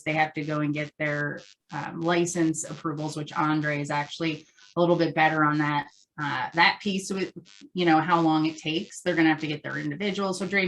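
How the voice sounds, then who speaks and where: A woman's voice: low-pitched, low-quality recording. A woman speaking casually as a guest in a business interview. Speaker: interview guest, franchise course presenter.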